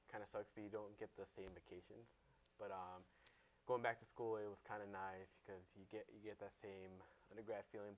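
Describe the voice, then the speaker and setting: A young man answering interview questions in a small studio, hesitant and full of pauses. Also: on the low side. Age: young adult. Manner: hesitant, lots of ums. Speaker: interview guest.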